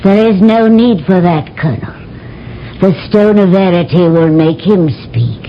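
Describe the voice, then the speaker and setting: Cool, commanding alien queen in an old radio play, slow and stately, dull lo-fi sound. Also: expressive. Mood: imperious. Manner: slow, measured, imperious. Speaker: queen Lura. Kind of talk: 1970s radio drama acting. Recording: dull old radio broadcast with faint rumble.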